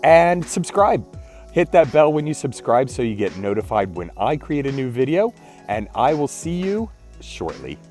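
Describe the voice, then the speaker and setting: A man, congested and clearing his throat, chatting casually in a daily vlog and apologizing often. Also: mid-range voice, sing-song, moderate pace, a little mellow, soft background music. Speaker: vlogger.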